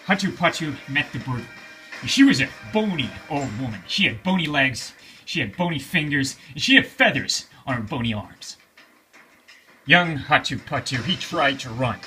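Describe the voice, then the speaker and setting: Very bright, animated male storyteller. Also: on the high side. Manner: theatrical, emphatic, repeating phrases for suspense. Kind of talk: dramatic children's bedtime story narration.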